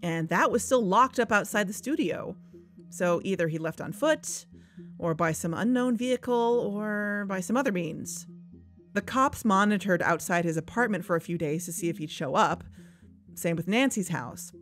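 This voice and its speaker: A woman's voice: mid-range voice, expressive, normal speed, natural voice. A woman playing a wry, earnest investigator who co-hosts a podcast, narrating in a conversational, confiding way. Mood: serious, frustrated. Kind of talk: conversational scripted audio drama narration. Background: background music.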